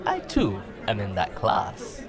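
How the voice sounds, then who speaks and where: A man plays a polite teenage boy with an earnest, easily indignant way of speaking. His rides a hugely swinging pitch, with some music and background crowd in this audio drama scene. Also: huge pitch swings, slow pace, heavily acted. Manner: formal, earnest phrasing.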